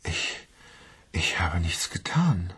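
A man's voice: low-pitched, sing-song, fairly bright tone, breathy edge, in a whisper, exaggerated voice. An actor playing an odd, hesitant man devoted to his mobile phone in a radio play. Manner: hesitant, halting.

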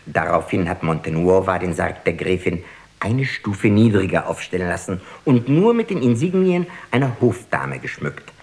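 A man's voice: deep voice, big pitch swings, normal speed, a little mellow. An actor playing a deferential male court official in a radio drama, reporting in a formal, precise and ceremonious manner. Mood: formal, dutiful.

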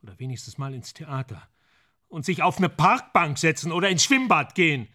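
An anguished, high-voiced screenwriter. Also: male voice, big pitch swings, pushed and bright, full character voice. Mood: pleading, justifying. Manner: agitated, halting, pleading, dropping to murmurs. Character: anguished, guilt-ridden male screenwriter desperate to flee. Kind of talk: German radio play acting.

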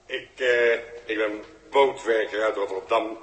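An actor in a 1960s radio drama playing a plain-spoken Rotterdam dockworker who talks bluntly and haltingly. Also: male voice, fairly low pitch, fairly even intonation, close to an everyday voice. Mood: awkward, indignant. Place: hall. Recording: roomy, noisy old hall recording.

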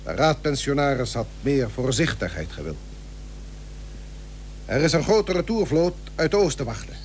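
An actor playing a grave, persuasive seventeenth-century shipowner and statesman in a historical radio play, speaking measured, formal archaic Dutch. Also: medium pitch, very animated, natural voice. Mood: urging. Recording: old radio recording with hum and hiss.

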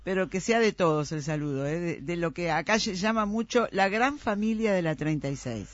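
A man co-hosts a radio show and speaks in a warm, emphatic way. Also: high-pitched, lively intonation, normal speed, no room sound, dull audio. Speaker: radio co-host.

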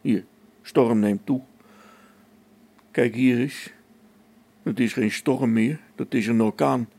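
A man's voice: mid-range voice, takes it slowly, vocal fry, dark tone. An actor playing a harried space station engineer in a radio play, thinking aloud as he reasons things out. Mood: defensive, searching.

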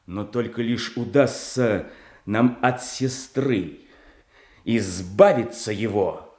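An actor playing a calculating boyar prince who urges on his fellow plotters, deliberate and declamatory in a classic Russian stage tragedy style. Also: on the low side, a little mellow. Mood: resolute, scheming. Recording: roomy stage-like echo.

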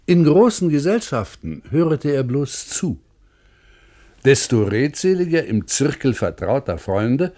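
A man's voice: medium pitch, darkish tone, close to an everyday voice. An admiring friend, played by a male actor, recalls a writer for a radio feature. His mid-range voice is composed and articulate, shaping literary sentences with care.

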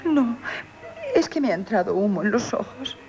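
A woman's voice: medium pitch, expressive, moderate pace, mellow, lo-fi sound, natural voice. A woman playing a coquettish young innkeeper in a radio play, plaintive and theatrical. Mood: plaintive, tearful. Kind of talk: Spanish radio theatre acting.

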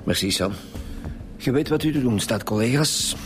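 An actor playing a brusque, impatient middle-aged Flemish police commissioner who cuts people short, in a naturalistic radio drama. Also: male voice, medium pitch, animated, normal speed, over loud music, natural voice. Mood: businesslike. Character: brusque, impatient male police commissioner leading murder detectives.

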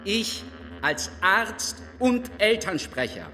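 High, forceful, pompous male doctor. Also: big pitch swings, slow pace, exaggerated voice. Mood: stern warning. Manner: emphatic, pompous, admonishing. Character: strident male doctor and parents' spokesman, self-righteous alarmist. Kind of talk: declamatory stage speech. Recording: reverberant hall, faint music behind.

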